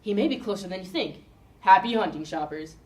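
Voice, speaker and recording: A woman acting as a cheery store employee making bright, salesy announcements to shoppers. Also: mid-range voice, animated, fairly quick, close to an everyday voice. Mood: chirpy. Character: chirpy toy-store employee with a megaphone voice.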